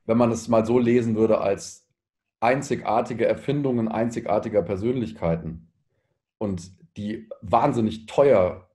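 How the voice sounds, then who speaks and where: A man in his thirties in a panel discussion, thinking aloud haltingly and repeating words as he searches. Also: lowish voice, warm tone. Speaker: panelist, artist.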